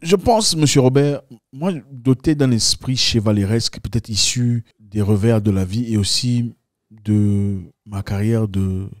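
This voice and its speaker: A man's voice: fairly low pitch, a little slow, darkish tone, dry recording, studio quality. A man hosting an opinionated political discussion, speaking emphatically and repeating phrases for effect.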